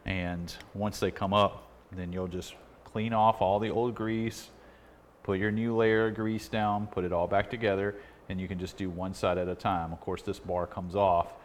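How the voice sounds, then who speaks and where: A middle-aged man with a low-pitched voice talks through a hands-on repair. He explains each step casually and in plain words. The recording is made at an indoor workbench, with faint noise behind him. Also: flat delivery, normal speed. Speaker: repair video host. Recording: clearly noisy workshop recording.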